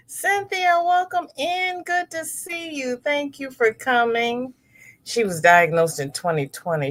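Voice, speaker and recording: Slow, lively-voiced woman hosting a craft stream. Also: lowish voice. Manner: warm, chatty, reads comments aloud and greets viewers by name. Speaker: host of a crochet livestream. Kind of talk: casual livestream chat reading viewer comments.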